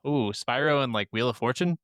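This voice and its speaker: Smooth, clear-voiced male gaming podcaster. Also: medium pitch, brisk, fairly bright tone, no echo, clean studio sound. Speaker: podcast co-host. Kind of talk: casual gaming chat.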